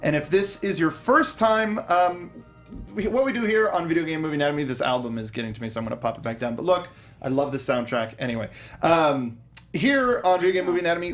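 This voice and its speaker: A young man co-hosting a casual movie review show, enthusiastic and rambling, often restarting his sentences. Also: on the high side. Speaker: podcast co-host. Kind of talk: casual film review chat.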